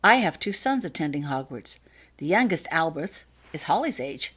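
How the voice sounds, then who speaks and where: A woman voicing a courteous, quietly commanding wizard father in an audiobook story, speaking smoothly and persuasively. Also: low voice, sing-song, normal speed, heavily acted. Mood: persuasive, decisive. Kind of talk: acted fanfiction audiobook dialogue.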